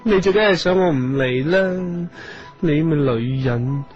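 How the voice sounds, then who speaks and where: A male actor playing a jealous, petulant gentleman with a Hong Kong Cantonese accent in a radio comedy drama. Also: on the high side, a little slow. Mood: jealous, sulky. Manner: petulant, accusing, sarcastic. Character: jealous, huffy gentleman feeling betrayed. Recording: slightly dull audio over music.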